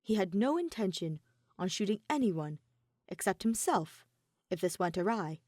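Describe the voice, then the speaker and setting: A woman narrates scripted fiction with lively, swinging intonation. Her phrasing is deliberate and dramatic, broken by pauses. The sound is close and dry, with an ordinary female pitch. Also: no room sound. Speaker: podfic reader.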